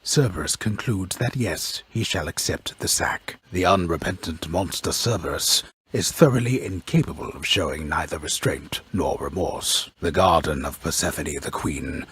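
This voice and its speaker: Game narrator: a grave mythic storyteller, extremely low and very husky, pompous, wry, ornate delivery. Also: male voice, no echo, full character voice. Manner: solemn, deliberate, ornate, wryly deadpan. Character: grave, pompous old storyteller narrating Greek myth, wryly ominous. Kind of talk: scripted dramatic storybook narration.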